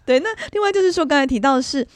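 A middle-aged woman hosts an interview at a brisk pace. Her pitch moves around a lot as she builds long conversational questions, and the recording is close and dry. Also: expressive, dry recording. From Taiwan. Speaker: podcast host, interviewer.

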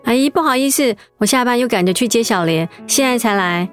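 An actress playing a caring young mother in a radio drama, with a gentle, reassuring way of talking. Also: a little high, animated, a little fast, soft background music, close to an everyday voice.

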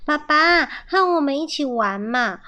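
A woman voicing excited, eager, playful little squirrel children in a picture-book read-aloud. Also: high voice, a little slow, muffled recording, voice pushed up, exaggerated voice. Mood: eager, pleading.

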